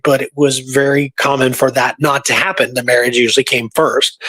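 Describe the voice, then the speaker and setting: Male history show host, voice a bit on the high side, informal and rambling, moving between notes and off-the-cuff talk. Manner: rambling, informal, thinking aloud. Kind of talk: casual history storytelling, partly reading from notes.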